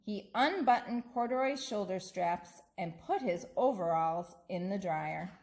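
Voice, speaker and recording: A woman narrating a children's bedtime story in an expressive, deliberate manner. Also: medium pitch. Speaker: school staff member reading aloud.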